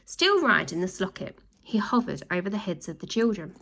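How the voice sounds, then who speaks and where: A woman narrating a children's book aloud in an animated storytelling style. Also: mid-range voice, normal speed, creaky.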